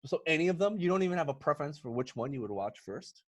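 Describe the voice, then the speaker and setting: A man hosting a casual movie podcast, talking excitably and emphatically with a theatrical, joking streak. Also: on the high side, fast talker. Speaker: podcast host.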